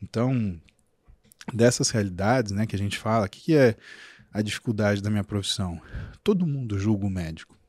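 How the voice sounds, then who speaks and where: A man's voice: fairly low pitch, fairly quick, slightly rough, dry recording. Emphatic Brazilian man, dry close sound. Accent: Brazilian Portuguese. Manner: animated, storytelling, emphatic repetition. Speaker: physician guest. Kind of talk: casual podcast interview.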